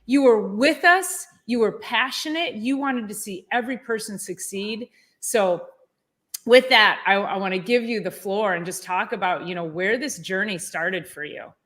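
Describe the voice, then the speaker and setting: A woman with a mid-pitched voice speaks as the host of an interview show. Her delivery is conversational and emphatic, with an effusive way of addressing her guest. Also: normal speed, fairly bright tone. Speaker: podcast host.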